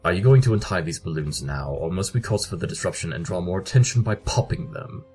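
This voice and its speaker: Guy doing a grumpy snooty cartoon detective pony, low voice, brusque n formal, music behind. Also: expressive, a little fast, darkish tone, slightly rough. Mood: irritated. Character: curt, aloof, grumpy adult male pony detective. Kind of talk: cartoon fan audio drama.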